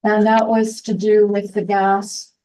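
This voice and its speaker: A soft-spoken woman addressing a town council during public comment, halting with many restarts and fillers. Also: mid-range voice, level tone, recorded from a distance, rough recording.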